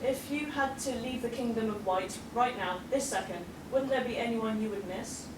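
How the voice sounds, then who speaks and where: A woman plays an earnest young mare, curious, direct and a little teasing. Her voice is fairly high, picked up from afar in an echoey, noisy room. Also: moderate pace, far from the mic, close to an everyday voice. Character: earnest, inquisitive young female pony newcomer.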